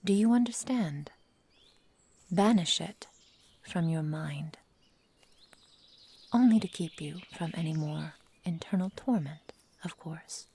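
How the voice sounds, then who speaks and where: A woman playing an adoring, possessive immortal forest witch in an intimate ASMR roleplay, with soft whispery speech, tender endearments and formal storybook phrasing. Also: lowish voice, animated, slow pace, long pauses, husky, full character voice. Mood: soothing, possessive. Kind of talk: intimate ASMR roleplay, slow dramatic delivery.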